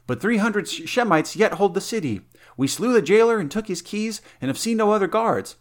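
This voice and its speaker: A man's voice: high voice, lively intonation, fairly quick, pitched above the performer's own voice. A man voicing a desperate, loyal young male knight, speaking urgently and exclaiming.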